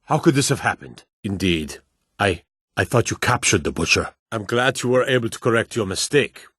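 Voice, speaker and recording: Low-voiced, weary fantasy guardsman. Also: expressive, moderate pace, a bit bright, slightly rough, dry recording, studio quality. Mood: exasperated. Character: weary, gruff fantasy city guardsman and soldier. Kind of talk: video game character dialogue.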